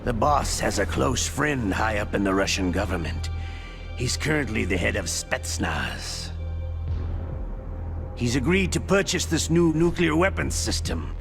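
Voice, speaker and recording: An actor playing a sadistic, theatrical Russian veteran interrogator in a video game, gravelly and menacing, savouring his pauses. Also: medium pitch, very animated. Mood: brooding, fervent. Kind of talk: video game cutscene acting. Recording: music under the voice.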